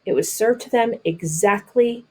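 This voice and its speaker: A woman's voice: mid-range voice, a little mellow, slightly rough, low-quality recording. Woman reading a picture book aloud. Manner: deliberate, explains simply as if to children. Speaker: storybook reader. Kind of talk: read-aloud of a children's picture book with explanatory asides.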